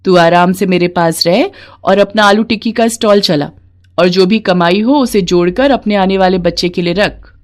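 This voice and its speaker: A woman's voice: mid-range voice, moderate pace, natural voice. A kind roadside-eatery owner from a moral storybook, put on by a woman narrator. She is blunt and protective, talking like a big sister. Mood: indignant, protective.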